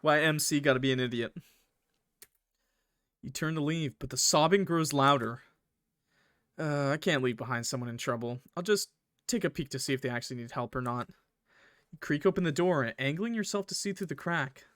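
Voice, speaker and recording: A man with a fairly high voice reads a visual novel aloud as a gaming creator. His delivery is informal and expressive, moving between narration and casual commentary. The recording is close and dry. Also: normal speed, pauses often, dry recording. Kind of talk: visual novel let's play, reading aloud with casual reactions.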